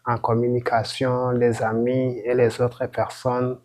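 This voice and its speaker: A man from Burundi speaks at an ordinary, middle pitch. He gives an earnest personal testimony in simple, direct phrasing. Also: monotone, measured pace, a little mellow. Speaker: student.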